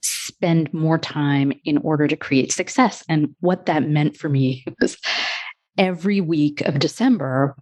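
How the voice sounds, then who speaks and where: A woman guest on a coaching podcast, reflecting on her work in measured, thoughtful phrases broken into short chunks. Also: low-pitched, a little mellow. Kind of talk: reflective interview conversation.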